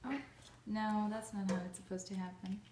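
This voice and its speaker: A woman's voice: medium pitch, level tone, normal speed, heavy background noise. A young woman from Russia demonstrates a recipe in her home kitchen, chatting casually with lots of fillers and restarts. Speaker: cooking vlogger. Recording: echoey kitchen, voice far from mic.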